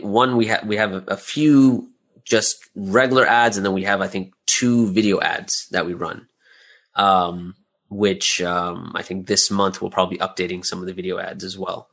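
A man's voice: on the low side, steady tone, normal speed, a bit bright. A man answering interview questions casually as a business owner, thinking aloud and repeating phrases as he explains. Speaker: interview guest, audio drama creator and business owner.

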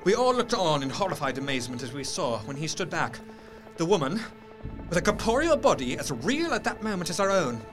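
Earnest male diarist, played by an actor, gothic audio drama narration: formal, intense, fairly high voice, light music underneath. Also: very animated, brisk. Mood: tense, amazed. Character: earnest man recounting horrors from his journal.

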